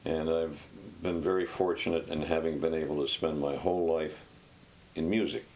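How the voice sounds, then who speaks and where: A man's voice: rumbling low, steady tone, normal speed, poor audio. A man in his eighties from upstate New York, speaking unhurriedly in an interview with a few "uh" fillers. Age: 80s. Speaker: interviewee, pianist.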